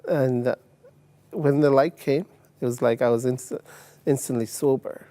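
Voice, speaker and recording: A man in his sixties from Uganda and later England, telling his personal story as an interview guest in a halting way, often repeating words. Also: medium pitch, fairly even intonation, dark tone. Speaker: testimony guest.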